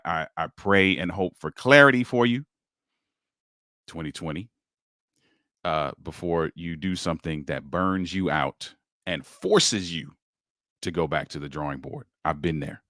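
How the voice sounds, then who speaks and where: A man's voice: deep voice, unhurried, a bit bright, no echo, studio quality. A man hosting a livestreamed podcast, upbeat and encouraging, talking with a preacher's lift as he greets commenters and his guest. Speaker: podcast host.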